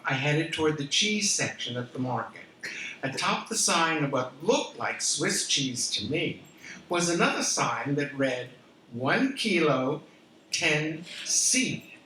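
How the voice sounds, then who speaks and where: An older American woman reading her memoir aloud as a theatrical, expressive storyteller, with emphatic pauses. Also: sits low. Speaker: author reading from own memoir. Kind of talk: dramatic live storytelling reading. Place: room in a historic house, before listeners. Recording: roomy, distant mic with background noise.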